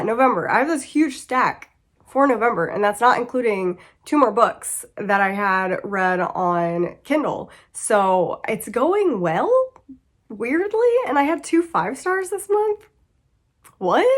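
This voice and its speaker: A woman's voice: mid-range voice, fairly bright tone. A woman in her thirties with a sick, congested voice, chatting about her monthly reading at home, laughing and breaking off mid-sentence. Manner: chatty, laughing, self-interrupting. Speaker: book vlogger. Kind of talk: casual reading wrap-up chat.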